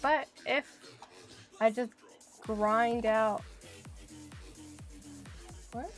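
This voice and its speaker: A woman's voice: fairly high pitch, drawn-out words, soft background music. A woman streaming a video game, chatting casually and thinking aloud as she plays. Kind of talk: casual live-stream chat while playing.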